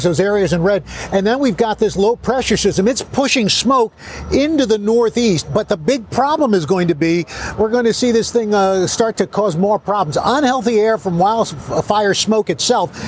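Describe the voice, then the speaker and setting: A man's voice: high-pitched, slight background noise. A man giving a live weather report, chatty and casual, correcting himself as he goes. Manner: casual, chatty, self-correcting while demonstrating. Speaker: weather forecaster. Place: outdoor plaza.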